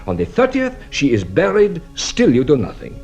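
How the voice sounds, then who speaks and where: An actor playing a shrewd, courteous French police inspector in a radio drama, questioning with theatrical politeness. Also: male voice, fairly high pitch, wildly swooping pitch, normal speed, creaky, darkish tone, faint music behind, exaggerated voice. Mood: probing.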